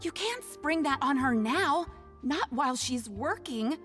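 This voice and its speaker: A woman's voice: high-pitched, animated, a little slow, fairly bright tone, soft background music. An actress playing a protective, friendly female colleague in a video game. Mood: chiding.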